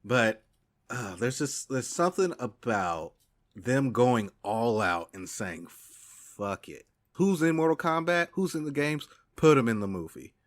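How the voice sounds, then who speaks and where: A man co-hosting a podcast, chatting casually and excitably, repeating his phrases and swearing freely. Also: mid-range voice, unhurried. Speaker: podcast co-host. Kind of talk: casual, enthusiastic podcast chat.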